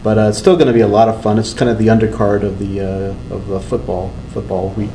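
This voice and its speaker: A man's voice: on the low side, flat delivery, fast talker, warm tone. A middle-aged man from Texas, a sports reporter chatting casually on a webcast panel. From Texas, USA. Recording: echoey room, faint background noise.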